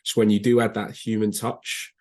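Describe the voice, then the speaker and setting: A man's voice: lowish voice, flat delivery, normal speed, darkish tone. A man speaking as an expert guest, answering conversationally in a structured, explanatory way. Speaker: recruitment software expert, guest.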